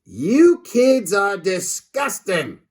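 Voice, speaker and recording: Man doing a disgusted, drawn-out, high-strung principal. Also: big pitch swings, breathy edge, exaggerated voice. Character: exasperated, disgusted grown-up male school principal.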